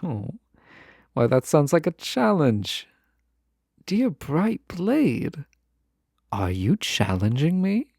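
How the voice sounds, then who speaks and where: Slow-spoken, lovestruck fantasy nobleman, played by a man. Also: fairly high pitch, big pitch swings, gravelly, darkish tone, no room sound, clean studio sound, exaggerated voice. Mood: nostalgic, teasing. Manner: halting, tender, formal old-fashioned phrasing. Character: lovestruck fantasy nobleman, wistful, teasing, formerly held captive. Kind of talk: romantic audio roleplay acting.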